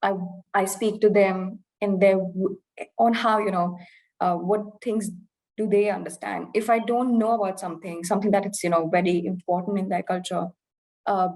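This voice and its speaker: A young woman from India talks through her experience in a candid, reflective way, her Indian English accent now mixed with British notes. Her voice sits at a middling pitch, and she often pauses and restarts as she searches for the right words. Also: fairly even intonation, darkish tone, poor audio. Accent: Indian English, softened by years in the UK. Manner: reflective, earnest, many fillers and restarts. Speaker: interview guest. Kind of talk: candid conversational interview.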